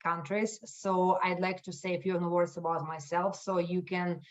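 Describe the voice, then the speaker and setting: Russian-accented woman, low and flat, on a lo-fi call. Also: moderate pace, a little hiss or hum. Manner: friendly, joking, laughs often. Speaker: guest presenter. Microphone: video call. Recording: dull, lo-fi video call audio.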